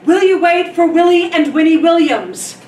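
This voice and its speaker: A woman drama teacher leading a hands-on workshop, coaching and demonstrating in a theatrical, animated way. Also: extremely high pitch, moderate pace. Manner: theatrical, animated, demonstrates lines in exaggerated delivery. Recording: echoey room, distant mic, noisy background.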